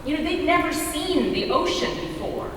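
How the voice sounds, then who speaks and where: A woman's voice: sits high, lively intonation, normal speed, a bit bright. A woman telling stories from a script in a conversational readers theater style. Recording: echoey hall, distant mic, faint noise.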